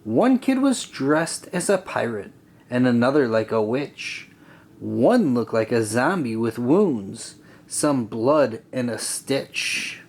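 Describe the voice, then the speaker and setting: A man reading a rhyming children's story aloud in an expressive, deliberate, sing-song way. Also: mid-range voice. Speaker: storybook reader. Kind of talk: children's read-aloud narration.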